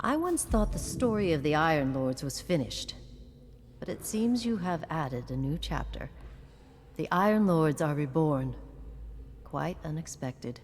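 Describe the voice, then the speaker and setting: Low-voiced, stern game commander. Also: female voice, expressive, darkish tone, long reverb tail, a little hiss or hum. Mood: intrigued, watchful. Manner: measured, authoritative. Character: stern, wise female commander, composed and watchful. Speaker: mentor and commander. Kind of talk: cinematic video game acting.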